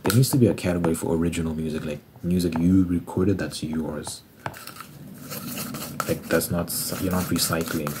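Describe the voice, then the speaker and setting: A man's voice: low voice, fairly even intonation, moderate pace, noisy background. A man in his twenties chatting casually on an ASMR channel, musing aloud and doing sums as he talks to himself. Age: 20s. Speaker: ASMR creator and musician. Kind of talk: casual rambling ASMR chat.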